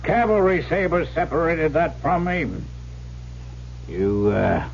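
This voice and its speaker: A folksy old soldier in an old radio play, sly and talkative. The voice is fairly high for a man and gravelly, on a muffled broadcast recording. He speaks in hearty rustic turns of phrase. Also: sing-song, slow pace, slight background noise, heavily acted. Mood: chatty, sly. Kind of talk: old-time radio drama acting.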